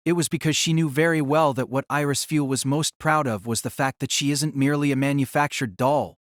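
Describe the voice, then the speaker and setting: A man's voice: mid-range voice, dry recording, clean studio sound. A man reading scripted story narration for an audio drama.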